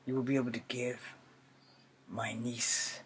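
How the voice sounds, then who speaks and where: Clear background noise sits under a man's performance of a young male soldier speaking to an elder. He is solemn and proud, in a mid-range voice that is hushed and halting. The delivery is an earnest dramatic monologue. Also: mid-range voice, level tone, lightly acted. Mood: solemn, determined.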